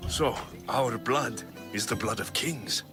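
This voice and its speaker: A man's voice: mid-range voice, takes it slowly, over music, lightly acted. A young man playing a resolute Spartan mercenary hero in a dramatic video game cutscene.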